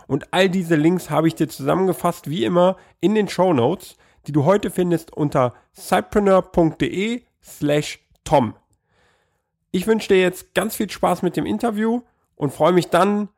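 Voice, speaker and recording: A man hosting his podcast while he has a cold, welcoming listeners cheerfully and asking questions with a few fillers. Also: on the high side. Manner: upbeat, welcoming, hesitant with fillers when asking. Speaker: podcast host. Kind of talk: podcast intro and interview.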